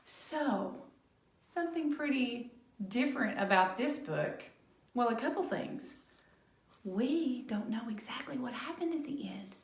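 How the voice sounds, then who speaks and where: Woman, children's story lesson, higher pitch, unhurried, questioning teacherly delivery, roomy echo. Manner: rhetorical questions and tag questions. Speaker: speech-language pathologist reading to children. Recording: echoey room.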